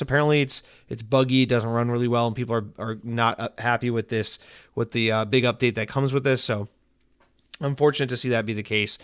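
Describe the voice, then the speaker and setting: A man's voice: medium pitch, fairly bright tone, clean tone, dry recording, studio quality. A man in his thirties hosting a casual solo podcast, rambling and swearing loosely, tired and coming down with a head cold. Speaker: podcast host.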